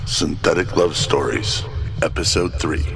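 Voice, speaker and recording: Very deep, even-toned male narrator. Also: low-quality recording. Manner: measured, dramatic storytelling. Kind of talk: scripted radio drama narration. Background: music.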